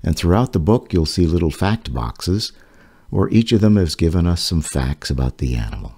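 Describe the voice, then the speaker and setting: A man reading his own children's picture book aloud, in a gentle storytelling manner with a lively rise and fall. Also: sits low, moderate pace, breathy edge. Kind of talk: slow children's read-aloud. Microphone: voice-over over book pages.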